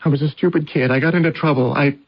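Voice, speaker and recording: An actor in a radio drama playing a trapped husband who pleads in an agonized, halting way. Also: male voice, on the high side, fairly even intonation, breathy edge. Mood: bitter, confessing. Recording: dull vintage radio drama recording.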